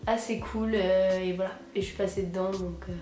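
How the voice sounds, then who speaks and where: Young female vlogger. Medium pitch, husky from a cold, slangy enthusiastic chat, echoey room, faint music. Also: fairly even intonation, brisk, warm tone. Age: teens to young adult, high school student. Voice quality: hoarse, husky. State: hoarse with a cold. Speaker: sneaker vlogger presenting purchases. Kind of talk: casual chat to camera, slangy. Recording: roomy echo, music behind.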